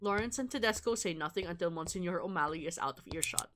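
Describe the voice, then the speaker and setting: A woman's voice: on the low side, fast, fairly bright tone. A woman streaming a game, reading a visual novel aloud with casual, sweary, amused asides. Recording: music behind the voice.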